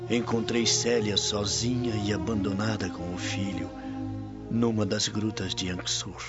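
Man playing a low-voiced grave Roman nobleman. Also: fairly even intonation, close to an everyday voice. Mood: remorseful, grave. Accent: Brazilian. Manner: formal, earnest, measured. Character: grave, remorseful Roman military nobleman, loyal son-in-law. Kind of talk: radio drama acting. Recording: dull audio with loud music.

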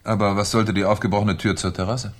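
An actor playing a shrewd, sardonic French police commissioner in a German radio crime drama, with a dry, probing manner. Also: male voice, lowish voice, level tone, quick pace, breathy edge. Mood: skeptical, probing.

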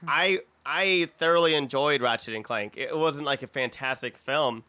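A man in a casual podcast chat, talking in a halting, rambling way and often interrupting himself. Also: mid-range voice, sharp tone. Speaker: podcast panelist. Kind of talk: casual group chat about films.

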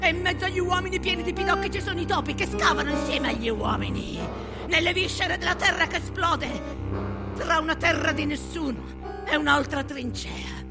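An actress performing as an impassioned storyteller of war horrors, declaiming a theatrical monologue in an intense, incantatory way. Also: female voice, extremely high pitch, moderate pace. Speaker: stage actress and narrator. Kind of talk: theatrical dramatic monologue set to music. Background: music.

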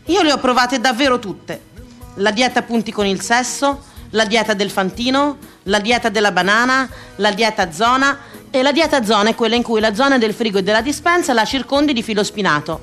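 An actress playing a wry, self-mocking woman eternally on a diet, declaiming in a comic theatrical radio monologue with dramatic pauses. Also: on the high side, fairly bright tone, natural voice. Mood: wry, exasperated. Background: music.

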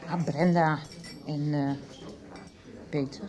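A woman's voice: deep voice, fairly even intonation, slow pace, background noise. An actress playing an outspoken, socially critical woman in a Dutch radio soap. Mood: uneasy. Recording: background chatter.

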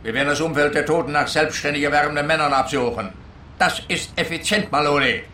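A pompous, bright-voiced policeman in a roomy space. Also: on the high side, quick pace, a little hiss or hum. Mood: smug. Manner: pedantic, lecturing, insistent. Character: pompous, self-assured policeman spouting absurd statistical theories. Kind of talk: German-language comic detective radio play.

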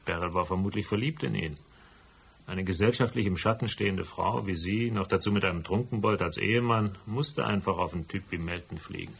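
An actor playing a stubborn, hardboiled private detective in a German radio drama, dry, laconic and matter-of-fact. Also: male voice, low-pitched, brisk, gravelly, breathy edge, heavily acted. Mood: dry, explaining. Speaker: voice actor and first-person narrator.

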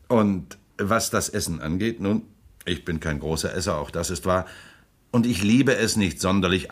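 A man playing a suave, amused prosecutor and politician in a radio play, ironic and self-assured, laughing often. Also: sits low. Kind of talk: German radio play acting.

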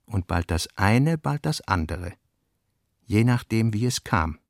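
A man narrating in a literary radio drama in a measured way, also reading diary notes aloud. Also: lowish voice, lively intonation, no room sound. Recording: dry close studio sound.

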